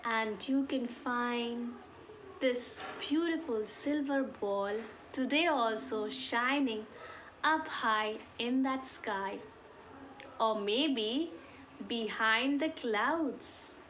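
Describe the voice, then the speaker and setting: A woman telling a folk tale to children in an expressive way, drawing out key words and putting questions to her listeners. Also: a little high, very slow, a little hiss or hum. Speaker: storyteller.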